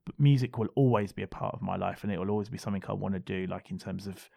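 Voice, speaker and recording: A man talking as a podcast guest in casual conversation, his voice going husky, rambling with many restarts. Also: deep voice, rapid-fire, warm tone, dry recording, studio quality.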